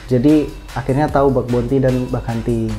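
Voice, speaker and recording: A man giving brief, plain, halting answers as a guest in a casual interview. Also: medium pitch, fairly even intonation. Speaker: podcast guest, IT security worker. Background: music.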